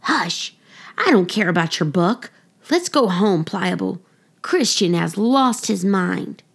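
Woman playing a stubborn, bossy storybook boy, slow-spoken. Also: medium pitch, lively intonation, creaky, husky, heavily acted. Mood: scornful. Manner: blunt, dismissive.

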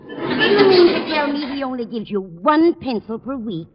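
A woman's voice: sits high, very animated, moderate pace. Echoing, kindly older landlady. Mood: indignant sympathy. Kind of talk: 1940s-50s radio sitcom acting. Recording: reverberant, dull, noisy old radio recording.

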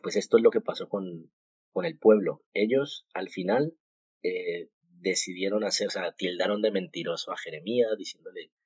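A man from Colombia in his thirties hosting a podcast, sharing a devotional thought in a halting way, with many fillers and restarts. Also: deep voice, darkish tone, breathy edge, no room sound. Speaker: podcast host. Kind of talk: casual devotional talk. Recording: muffled, dull home recording.

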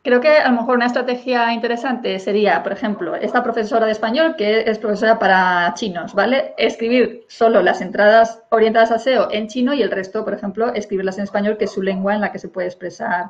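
A woman's voice: medium pitch, steady tone, quick pace, few pauses, slight background noise. A woman from Spain who teaches English, hosting a casual conversation, thinking aloud with fillers and checking in with her listener. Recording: dull lo-fi recording.

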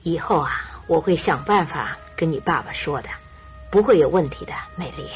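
Low-voiced indulgent mother. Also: lively intonation, moderate pace, soft background music. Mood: reassuring. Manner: reassuring, gentle advice. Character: indulgent middle-aged mother, reassuring and accepting. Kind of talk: radio drama acting.

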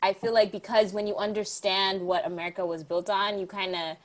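A woman's voice: medium pitch, fairly even intonation, brisk. A young woman hosting a casual interview, asking long, rambling questions full of fillers. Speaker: interviewer host.

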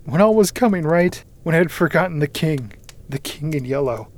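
A man's voice: a little high, animated, moderate pace, close to an everyday voice. A man voicing a terrified, broken madman who pleads frantically and stammers, in a horror story reading. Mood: frantic terror.

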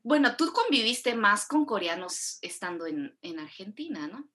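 A woman's voice: medium pitch, sing-song, moderate pace, bright, forceful tone. A woman from Mexico, hosting a casual interview and rambling animatedly with plenty of self-corrections. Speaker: host.

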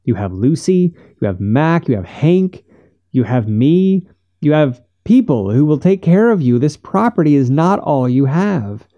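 A man's voice: fairly high pitch, sing-song, measured pace, warm tone, muffled recording, pitched above the performer's own voice. A man narrator voicing an earnest, caring man who reasons urgently and persuasively in an audiobook. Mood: firm reassurance. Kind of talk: audiobook character voice.

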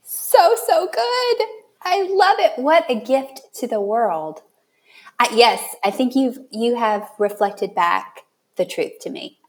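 A woman hosting a podcast interview, responding in a conversational, effusive and expressive way. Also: a little high, takes it slowly. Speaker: podcast host.

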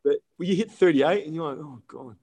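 A man, telling stories in a relaxed podcast chat, full of ums and easy laughs. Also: fairly high pitch, fairly quick. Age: 30s. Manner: laid-back storytelling with frequent ums and laughs. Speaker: guest.

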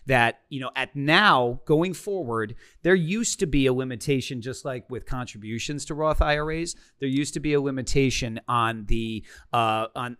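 A man's voice: medium pitch, no echo, clean studio sound. A man, a financial advisor, explaining retirement accounts step by step in a casual chat. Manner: steady, methodical explainer who counts off points.

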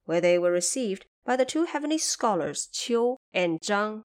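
A woman's voice: mid-range voice, lively intonation, normal speed. A woman reading scripted narration of a classic fantasy tale for an audio drama. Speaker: storyteller.

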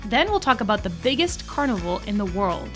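An American woman co-hosting a podcast, reading prepared history facts in a clear, upbeat way. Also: mid-range voice, very animated, brisk, fairly bright tone, faint music behind. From United States. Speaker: podcast co-host. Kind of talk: scripted podcast narration.

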